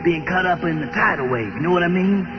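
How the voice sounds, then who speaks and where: Man playing a high-voiced, casual helicopter pilot. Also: animated, fast. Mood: eager planning. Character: casual, practical stranded helicopter pilot from an oil rig. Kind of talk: old time radio drama acting. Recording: muffled, reverberant radio recording with music.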